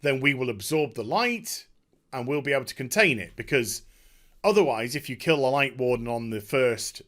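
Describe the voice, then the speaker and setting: A man talking casually and off the cuff as a video host, emphatic and excitable, often halting and repeating his words. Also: mid-range voice. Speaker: video host giving a game story discussion. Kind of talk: unscripted casual rambling commentary.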